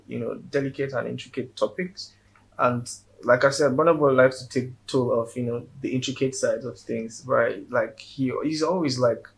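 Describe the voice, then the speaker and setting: A man's voice: medium pitch, rough recording. A man with a Nigerian accent, a guest in a casual interview, speaking earnestly and often repeating words as he builds his point.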